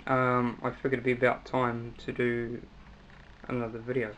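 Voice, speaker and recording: A young man with a New Zealand accent, giving a casual, hesitant hobbyist demonstration with frequent pauses. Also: mid-range voice, monotone, fairly bright tone, dull audio. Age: young adult. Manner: hesitant, halting delivery with pauses. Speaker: hobbyist video maker. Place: small cluttered room at home, indoors. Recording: noisy amateur home recording.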